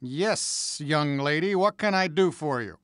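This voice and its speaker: Formal, lively train conductor. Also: male voice, on the high side, unhurried, smooth, no echo, clean studio sound. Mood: brisk, courteous. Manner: formal, courteous, authoritative. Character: brisk, formal middle-aged male train conductor. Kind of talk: animated video game acting.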